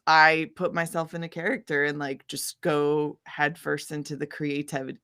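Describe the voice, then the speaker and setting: A woman's voice: deep voice, flat delivery, normal speed, fairly bright tone, smooth. A woman musician being interviewed, talking in a sunny, bubbly and informal way. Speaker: interview guest, singer-songwriter. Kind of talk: casual interview chat.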